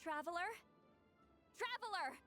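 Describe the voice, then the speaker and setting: A woman's voice: very high-pitched, lively intonation, measured pace, bright, forceful tone, faint music behind, exaggerated voice. A woman playing a proud, confident young female shaman in a video game, matter-of-fact and a little brusque. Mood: urgent.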